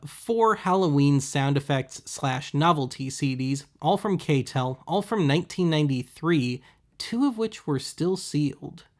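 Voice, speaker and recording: A man hosting a web series, giving casual, deadpan, wry voice-over commentary on thrift finds. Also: fairly high pitch. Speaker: host of a web video series.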